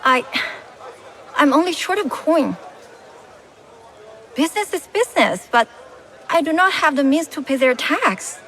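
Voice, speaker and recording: Game merchant: foreign woman trader, forceful bright tone, worried, earnest, faint crowd behind. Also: sits high, big pitch swings, unhurried, pauses often, heavily acted, poor audio. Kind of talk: video game cutscene acting.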